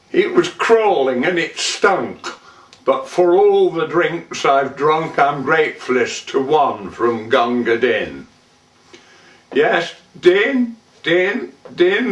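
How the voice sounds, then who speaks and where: A man performing a dramatic poem as a gruff, sentimental British colonial soldier, reciting with theatrical, deliberate delivery. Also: a little high. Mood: sombre, grateful, then impassioned. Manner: theatrical, deliberate, with shouted calls and drawn-out phrases. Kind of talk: dramatic poetry recitation, monologue. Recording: echoey room, distant microphone.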